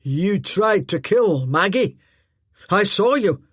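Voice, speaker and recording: A menacing Highland man, as a woman narrator puts him on, drawing his words out slowly, coldly and loudly. The voice sits low and husky in a dry, close room. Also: big pitch swings, dry recording, heavily acted.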